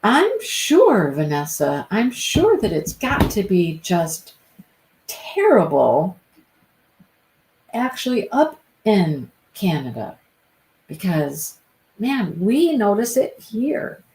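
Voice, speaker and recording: A woman's voice: medium pitch, very animated, takes it slowly, darkish tone, poor audio. A woman hosting a live stream, chatting casually with viewers by name and repeating her phrases, her voice stuffy and congested. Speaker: livestream host.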